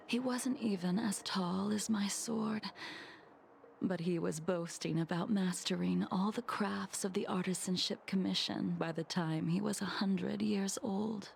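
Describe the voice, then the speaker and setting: A woman playing a cold, ancient swordswoman in a video game, speaking softly and formally with a breathy edge. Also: medium pitch, a little hiss or hum. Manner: slow, measured, formal, cryptic. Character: cold, ancient swordswoman reminiscing about fallen comrades. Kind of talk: scripted dramatic video game dialogue.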